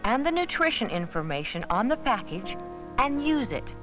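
A woman giving measured, scripted narration for an educational film about supermarket shopping. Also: on the high side, sing-song. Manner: measured, instructive. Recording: dull 1970s 16mm film soundtrack with music.

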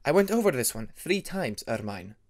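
A man's voice: mid-range voice, sing-song, normal speed. A man voicing a proud young male ice dragon who speaks formally, in an audiobook story. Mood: politely proud.

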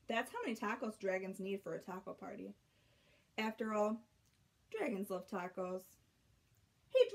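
A young woman reading a children's picture book aloud, expressively, with drawn-out emphasis and dramatic pauses. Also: medium pitch. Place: small bedroom at home.

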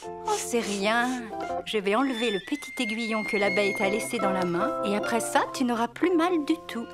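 A woman voicing a gentle mother bear in a preschool cartoon, soothing and encouraging. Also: on the high side, animated, normal speed, loud music behind the voice, natural voice. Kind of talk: French preschool cartoon acting.

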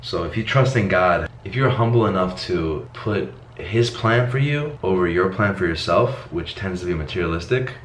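A young man whose first language is Croatian, speaking earnestly and encouragingly to his phone camera in a small bedroom. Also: low-pitched. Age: young adult. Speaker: fitness vlogger. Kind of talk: talking to camera, motivational. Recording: roomy echo, faint background noise.

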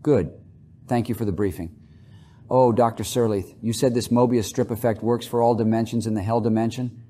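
A plain-spoken American president, played by a man. He sounds in charge, with a dark, mellow tone at middling pitch. The style is audiobook drama. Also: fairly even intonation, normal speed, natural voice. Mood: questioning. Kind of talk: audiobook character acting.

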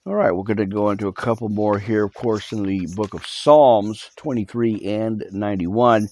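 A man pastor reading scripture and giving a live prayer update on his podcast, halting with many fillers and restarts, earnestly urging listeners to repent, recorded on a cell phone in a small home studio room. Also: on the low side, darkish tone. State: recovering from losing his voice.

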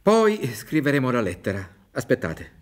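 An animated, earnest village doctor. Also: male voice, medium pitch, moderate pace, very noisy. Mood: concerned, questioning. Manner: earnest, questioning. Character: kindly, concerned gentleman village doctor. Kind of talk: radio drama acting. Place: theatre stage, radio drama recording.

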